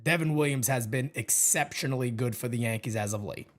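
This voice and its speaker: A man co-hosting a casual sports chat, animated and quick to break into loud, excited outbursts. His voice sits at an ordinary pitch. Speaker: podcast co-host.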